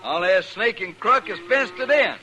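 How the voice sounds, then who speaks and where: A man's voice: high voice, animated, moderate pace, bright, forceful tone, muffled recording, soft background music. An actor playing a proud, desperate cattleman, angry and pleading, in a 1950s radio drama.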